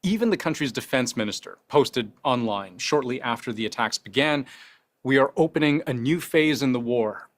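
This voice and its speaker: A man hosting a news explainer, narrating from a script in deliberate, emphatic phrases with rhetorical questions. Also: medium pitch. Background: background music bed.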